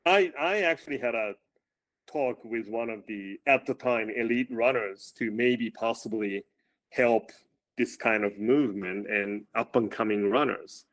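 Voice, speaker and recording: A male running coach speaking in a casual interview, with a loud voice, often repeating words as he starts his sentences. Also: mid-range voice, unhurried.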